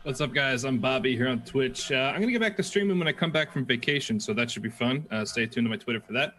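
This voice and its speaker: A man player giving a relaxed, friendly shout-out at the end of a stream. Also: medium pitch, fast.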